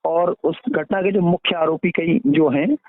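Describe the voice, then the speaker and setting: A man giving a formal, somewhat halting interview account over a phone line. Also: on the high side, no room sound. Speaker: interview guest, activist. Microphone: phone call. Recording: muffled band-limited phone line.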